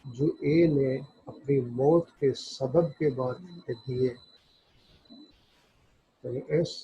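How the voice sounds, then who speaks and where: A man with a Pakistani accent giving an explanatory law lecture, reading passages out deliberately, phrase by phrase, and explaining them. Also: mid-range voice, warm tone, husky. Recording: dull, lo-fi audio.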